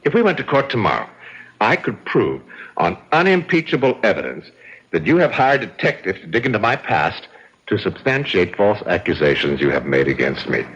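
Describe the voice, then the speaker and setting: An actor playing a suave, coldly cruel murderer in a radio drama, taunting and theatrically deliberate. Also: male voice, on the low side, very animated, a bit bright, slightly rough, heavily acted. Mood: smug, threatening. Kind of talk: old-time radio drama acting. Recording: dull, lo-fi radio drama recording.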